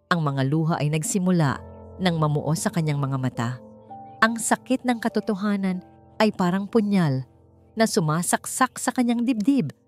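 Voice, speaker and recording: Low-voiced woman narrating a dramatic story. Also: darkish tone. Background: music.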